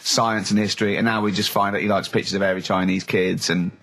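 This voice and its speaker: Husky-voiced man bantering on radio. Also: deep voice, flat delivery, fast talker, a bit bright. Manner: teasing, prodding with questions. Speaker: radio show host.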